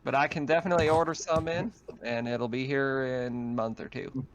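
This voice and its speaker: A man's voice: mid-range voice, steady tone, fairly quick, over a noisy crowd, lightly acted. A man playing a stubborn colony shopkeeper who haggles over prices in an improvised tabletop roleplaying game. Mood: bargaining. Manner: haggling, bargaining sales talk. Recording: muffled online voice call.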